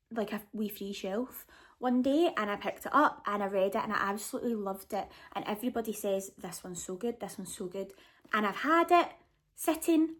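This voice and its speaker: A woman chatting casually and enthusiastically to camera as a book vlogger, often gushing. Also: fairly high pitch.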